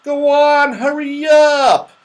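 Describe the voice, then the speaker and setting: A man voicing a bossy, impatient little storybook fellow who gives brisk orders. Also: extremely high pitch, steady tone, voice pushed up.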